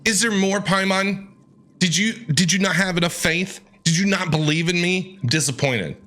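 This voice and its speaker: A man's voice: high voice, moderate pace, sharp tone. A man giving playful, theatrical gameplay reaction commentary as a streamer, talking back to the characters.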